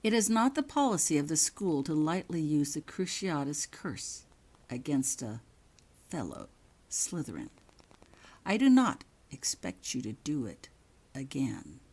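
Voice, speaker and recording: Woman playing a menacing storybook headmaster, male, near-whispering, slow deliberate pauses, cold control, swooping emphasis. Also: low voice, sing-song, a little mellow, husky, heavily acted. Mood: stern, quiet.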